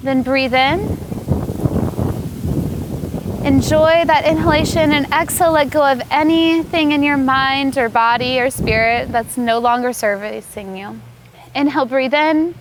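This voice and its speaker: A woman yoga teacher leading a guided meditation, giving calm, unhurried, soothing instructions. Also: sits high, noisy background.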